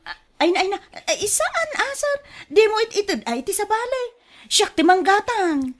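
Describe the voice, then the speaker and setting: A woman's voice: extremely high pitch, very animated, normal speed. A woman with an Ilocano accent playing a covetous, scheming wife in a radio drama, exclaiming, wheedling and sighing. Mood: eager, flustered. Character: covetous, scheming wife craving a car of her own.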